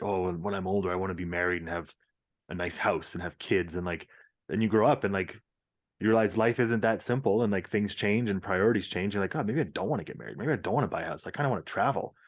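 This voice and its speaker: A man co-hosting a podcast, chatting informally and reflectively, with some swearing and hedging. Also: fairly low pitch. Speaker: podcast co-host. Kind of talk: casual, reflective chat.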